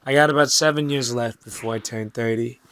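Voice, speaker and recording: A man in his twenties, hoarse and raspy from losing his voice, talking through a rambling late-night monologue as a channel host, halting with fillers and casual swearing. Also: mid-range voice, fairly even intonation, fairly bright tone. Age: 20s.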